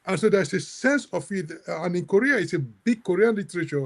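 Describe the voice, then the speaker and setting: A middle-aged man moderating an academic discussion, halting, with many restarts and fillers. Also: high-pitched, animated. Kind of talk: academic discussion on a video call.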